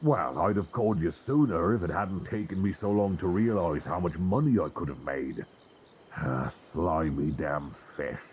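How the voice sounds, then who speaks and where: A man acting a low-voiced old lighthouse keeper. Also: moderate pace, highs cut off, slight background noise, natural voice. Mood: sheepish, grumbling. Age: older. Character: old male 19th-century lighthouse keeper, weary, greedy, wry. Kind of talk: suspense horror audio roleplay acting. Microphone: close binaural microphone.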